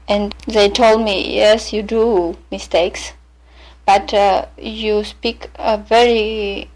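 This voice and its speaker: This is a Romanian-accented woman speaking English as her second language. She talks slowly and unhurriedly, and her pitch sits in an ordinary middle range. She halts often to search for words and stops to restart her phrases. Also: low-quality recording. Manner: hesitant, halting, many fillers and restarts, laughs softly. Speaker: librarian speaking about herself. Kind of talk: unscripted personal monologue for a listening exercise.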